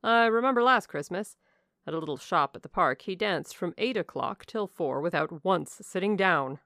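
Woman acting a jovial country squire, storybook character, bluff, loud, emphatic, lively pitch, dry sound. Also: moderate pace, no echo. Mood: hearty, emphatic. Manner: bluff, emphatic, good-humoured. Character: hearty, blunt, jovial older country gentleman.

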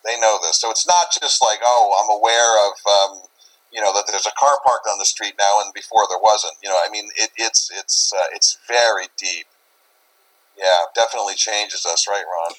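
Casting: male interview guest, lowish voice, conversational, loose and repetitive phrasing. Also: steady tone, normal speed, a bit bright, poor audio. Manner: rambling, repeats phrases, often says "you know". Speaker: interview guest, paranormal investigator.

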